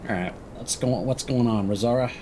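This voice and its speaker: A man's voice: mid-range voice, brisk, noisy background. A man livestreaming a video game, chatting informally with viewers in a laid-back, friendly way. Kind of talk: casual let's play commentary, chatting with viewers.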